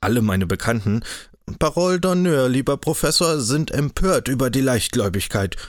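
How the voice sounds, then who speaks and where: A man voicing a mocking, chatty older cavalry captain in an audiobook, loud and jovial with a sneer. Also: medium pitch, expressive, moderate pace, no room sound, studio quality, natural voice. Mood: scornful.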